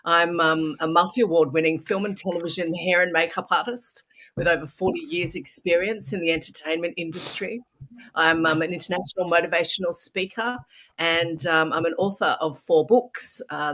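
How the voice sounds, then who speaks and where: A woman in her sixties from Australia, warm-toned and upbeat. She presents herself as a motivational speaker on a video call. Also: lowish voice, moderate pace.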